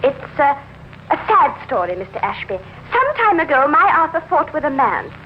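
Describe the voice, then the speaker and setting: An actress playing a scheming, evasive wife who feigns innocence in a 1950s radio crime drama, hesitant and theatrical. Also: female voice, high-pitched, sing-song, moderate pace. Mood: evasive, polite. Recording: dull, noisy old radio recording.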